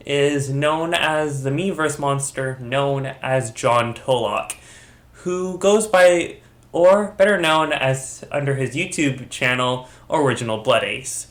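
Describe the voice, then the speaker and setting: A man's voice: medium pitch, a bit bright. A man narrating a commentary video while sick and under the weather, rambling and often correcting himself mid-sentence. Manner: rambling, with frequent restarts and self-corrections. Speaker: YouTube commentator. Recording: echoey room.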